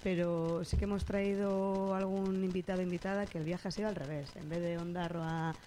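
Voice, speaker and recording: A woman hosting a radio chat, brisk and friendly, often repeating words. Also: on the low side, fairly even intonation, dark tone, slight background noise. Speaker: radio host. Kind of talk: casual radio interview.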